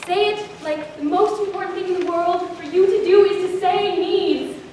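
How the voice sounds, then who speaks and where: A woman's voice: very high-pitched, moderate pace, warm tone. A teenage female performer playing a menacing, fussy, pedantic schoolteacher in a comic readers theater piece, emphatic and theatrical. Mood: overbearing. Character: menacing, fussy second-grade teacher, overbearing and pedantic. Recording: echoey room, distant mic, faint noise.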